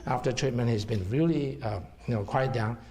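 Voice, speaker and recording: A man's voice: medium pitch, brisk, warm tone. A middle-aged man with a Hong Kong accent, a herbal doctor giving a seminar talk and explaining to the audience, checking in with "okay?". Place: seminar room.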